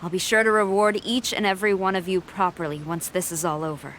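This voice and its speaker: A woman's voice: on the low side, steady tone, quick pace, fairly bright tone, a little hiss or hum, natural voice. A woman playing a dignified military commander in a video game, formal, composed and authoritative.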